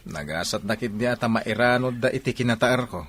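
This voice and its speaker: A man's voice: medium pitch, brisk, fairly bright tone, lightly acted. A man playing a vain, womanizing young soldier in a comedy radio drama, boastful in manner. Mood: cocky, amused.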